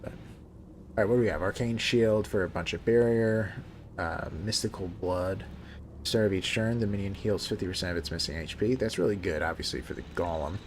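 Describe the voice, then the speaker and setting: A man casually chatting while he plays a game on a live stream, talking in a relaxed, soothing way and often restarting his sentences. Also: low voice, moderate pace, a little hiss or hum. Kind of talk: casual live gameplay commentary. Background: game music.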